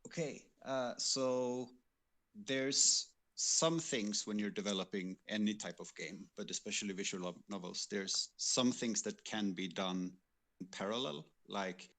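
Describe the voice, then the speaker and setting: A man giving measured, methodical explanations as a game programmer on a livestream. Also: on the low side, fairly bright tone. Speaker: game programmer on a development team.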